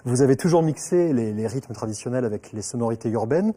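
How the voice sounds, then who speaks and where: Male interviewer, mellow mid voice, attentive and prompting. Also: medium pitch, animated, fast. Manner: attentive, prompting with short acknowledgments. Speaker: interviewer host. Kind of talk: radio-style interview.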